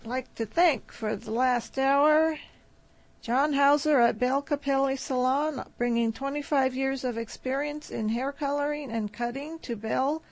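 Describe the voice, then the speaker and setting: Unhurried high-voiced woman radio host. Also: low-quality recording. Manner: relaxed, steady back-announcing. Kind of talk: radio announcing and sponsor reads. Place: radio studio. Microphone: studio microphone.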